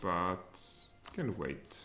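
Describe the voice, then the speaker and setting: A man in his thirties with a Czech accent, streaming a strategy game and thinking aloud, with sudden excited outbursts. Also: sits low, slow pace. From Czech Republic. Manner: mutters thoughts aloud, then bursts out excitedly. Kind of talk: live strategy game commentary. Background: faint music and keyboard typing.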